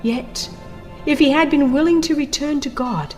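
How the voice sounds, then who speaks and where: A woman giving a dramatized, scripted narration of a religious book in a solemn, deliberate, theatrical manner, her phrases often ending hushed. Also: high voice. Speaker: audiobook narrator. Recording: music behind the voice. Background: background music.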